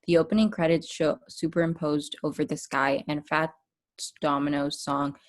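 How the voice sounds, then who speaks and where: A woman's voice: low-pitched, little pitch movement, normal speed. A woman reading stage directions as scripted narration for a table read.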